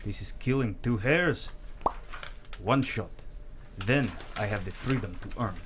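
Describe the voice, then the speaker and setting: A man's voice: lowish voice, unhurried, fairly bright tone, a little hiss or hum. A man playing a restless, determined young Russian immigrant in a table read, terse and insistent.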